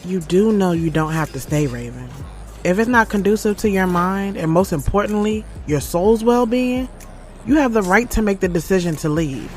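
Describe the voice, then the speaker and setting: A woman's voice: mid-range voice, expressive, normal speed, darkish tone, close to an everyday voice. An actress playing a candid, loyal woman who narrates her own story in an audio drama, in a conversational, slangy and frank way. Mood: supportive. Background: music.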